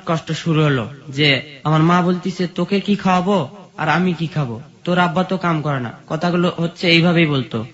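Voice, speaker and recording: A high-pitched male voice with a rural Bangladeshi accent, slightly muffled in the recording. He tells his life story to an interviewer in a plain, repetitive way, moving step by step through what happened. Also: normal speed, fairly bright tone. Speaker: guest telling life story. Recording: dull, muffled lo-fi sound.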